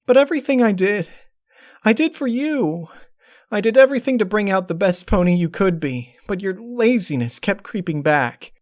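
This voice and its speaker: Guy doing a upset girl pony princess, pitched way up, pleading n controlling, storybook style. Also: expressive, moderate pace, no room sound, clean studio sound. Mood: hurt, critical. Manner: pleading, emotionally strained delivery. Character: distraught, controlling young female pony princess, tearful and critical. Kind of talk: dramatic storybook character reading.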